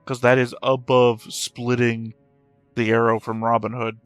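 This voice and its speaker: A man's voice: mid-range voice, level tone, unhurried, clean tone, no room sound, soft background music. A man giving casual, blunt reaction commentary as the host of a reaction video.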